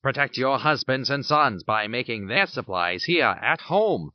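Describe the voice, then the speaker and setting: Propaganda announcer, rousing, male actor mid-pitched man with swooping delivery, muffled radio drama. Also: lively intonation, unhurried, no echo, lightly acted. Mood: rallying.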